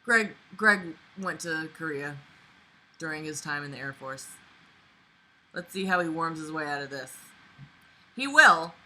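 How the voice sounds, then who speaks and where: A woman's voice: sits low, expressive, a little slow, pauses often, pushed and bright, low-quality recording. A young woman reading a book aloud casually with sarcastic, exasperated, sweary running commentary. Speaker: online book reader and commentator.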